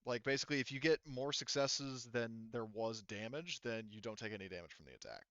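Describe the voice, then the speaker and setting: A man's voice: medium pitch, expressive, fairly quick, a bit bright, clean tone, no room sound, dull audio. A man acting as game master, casually narrating a tabletop game and explaining rules while thinking aloud.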